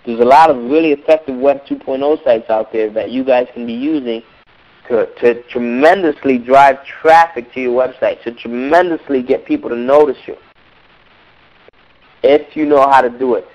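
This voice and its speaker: A man's voice: medium pitch, normal speed, darkish tone. A man with a cold, coaching in a teleseminar, emphatic and repeating words for stress. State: hoarse from a cold. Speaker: music business coach. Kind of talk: motivational teleseminar talk. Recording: dull lo-fi teleseminar call.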